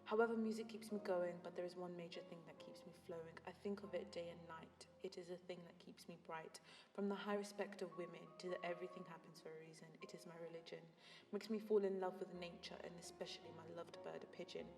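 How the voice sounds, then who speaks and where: A teenage young woman with a fairly low voice speaks a scripted, confessional monologue, partly in rhyme. She addresses a friend in a reflective way. Her voice carries a long reverb, with music playing behind it. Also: steady tone, quick pace. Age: teens. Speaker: student narrating an audio journal.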